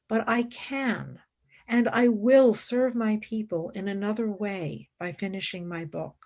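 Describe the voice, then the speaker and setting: A woman's voice: mid-range voice, darkish tone. A woman playing a devout, stubborn, impassioned nun and philosopher in a radio play, speaking in an earnest, formal way. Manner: earnest, formal, deliberate, argumentative.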